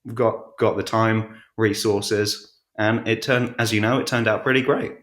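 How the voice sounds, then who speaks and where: Low-voiced man in an echoey room. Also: steady tone, unhurried, fairly bright tone. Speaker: podcast guest, audiobook narrator. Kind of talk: casual conversational storytelling. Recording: roomy, echoey sound.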